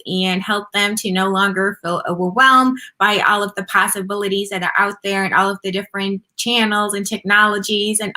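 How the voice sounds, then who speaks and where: A woman's voice: medium pitch, pushed and bright. A woman from Germany, guest on an interview show, talking casually and upbeat about her marketing work. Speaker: podcast guest, marketing agency founder.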